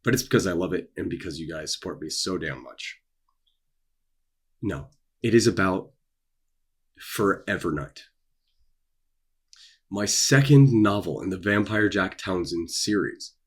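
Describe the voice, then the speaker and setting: A young man with a fairly low, husky voice talks in a casual vlog style. His delivery is theatrical, leaning on repeated words and dramatic pauses for emphasis. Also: animated. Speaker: author and video creator. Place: small dark room indoors.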